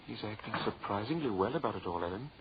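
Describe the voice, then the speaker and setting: An actor playing a decent young lover, polite, earnest and a little hesitant, in an old-time radio drama. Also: male voice, on the low side, animated, fast talker, a little hiss or hum. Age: young adult. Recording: muffled old radio broadcast.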